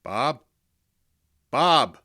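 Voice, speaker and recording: An actor in an audio drama playing a stubborn, bitter male ex-soldier with a gruff, folksy, dismissive manner. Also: medium pitch, sing-song, extremely slow, noisy background, full character voice. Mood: agitated.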